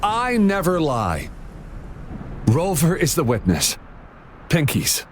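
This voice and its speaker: Slow, lively, kindly young soldier. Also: male voice, on the high side, slight background noise, exaggerated voice. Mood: reassuring. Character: kindly young male soldier, gentle with children. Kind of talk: video game voice acting.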